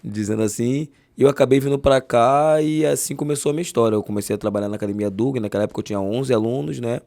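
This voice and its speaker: Carioca guy, accent worn down some, rattles off his story fast, dark mellow voice. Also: mid-range voice, vocal fry, dry recording. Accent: carioca, softened. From Rio de Janeiro, Brazil. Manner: quick, warm storytelling. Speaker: guest, jiu-jitsu master. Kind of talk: interview storytelling.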